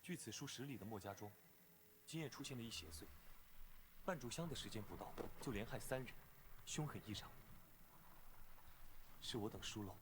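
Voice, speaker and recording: A man playing a polite, earnest young clan disciple in an animated fantasy drama, speaking formally and courteously. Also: on the low side, normal speed, long pauses, background noise, natural voice.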